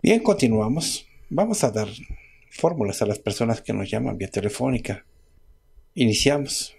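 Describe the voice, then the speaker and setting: A man hosting a radio advice show, dictating herbal remedy lists slowly, item by item. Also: mid-range voice, animated, creaky, a little mellow, faint music behind. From Mexico.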